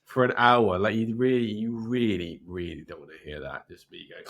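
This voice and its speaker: A man's voice: on the low side, a little slow, gravelly, a bit bright. A man with a congested, nasal voice, co-hosting a casual, laid-back football podcast chat. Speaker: podcast co-host.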